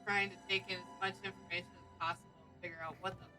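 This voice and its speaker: A woman's voice: lowish voice, level tone, rapid-fire, sharp tone. A woman playing a tabletop roleplaying game, casually describing what her character does. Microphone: online group call. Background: music.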